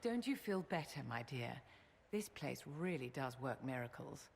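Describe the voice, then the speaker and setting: An imperious, witty high-society lady mage from a fantasy game. Her voice sits a little low and swoops widely, theatrical and fondly condescending. There is noticeable background ambience. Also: big pitch swings, moderate pace, full character voice. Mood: breezy, coaxing. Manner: theatrical, drawling endearments, condescending. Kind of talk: video game voice acting. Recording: clear background ambience noise.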